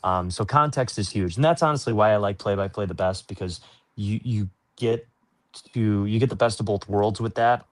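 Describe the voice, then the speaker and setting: A man's voice: lowish voice, moderate pace. A man working as a sports announcer, answering interview questions in an earnest, informal, explanatory way.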